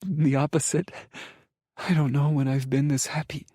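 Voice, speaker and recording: A man's voice: fairly high pitch, level tone, slow pace, pushed and bright, dry recording, whispered, clean studio sound, pitched above the performer's own voice, exaggerated voice. A man voicing a smitten, yielding young woman in an audiobook romance, with an eager, emotional delivery. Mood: happy, tender. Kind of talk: audiobook romance character acting.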